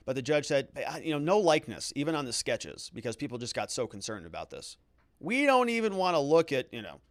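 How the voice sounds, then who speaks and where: A man's voice: a little high, no room sound, studio quality. A man hosting a livestream of legal commentary, thinking aloud conversationally with hedges and repeated words for emphasis.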